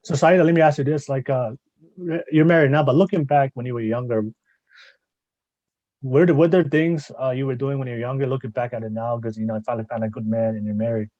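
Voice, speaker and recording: Fast-talking male podcast host, dry sound. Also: medium pitch, steady tone, a little mellow, dry recording, muffled recording. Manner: rambling, repeats and rephrases questions. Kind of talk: casual interview chat.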